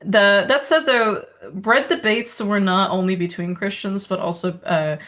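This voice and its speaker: A woman's voice: mid-range voice, fairly even intonation, a little slow, fairly bright tone. A woman of origin co-hosting a podcast, talking in a rambling, casual way full of asides and little laughs. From Serbia. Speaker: podcast co-host. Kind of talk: casual conversational chat.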